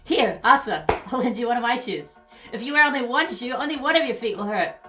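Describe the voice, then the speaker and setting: A woman voicing an upbeat, stubbornly friendly high school girl in a comic fan dub, with a blunt, breezy, casual manner. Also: animated, fairly quick, over music, lightly acted.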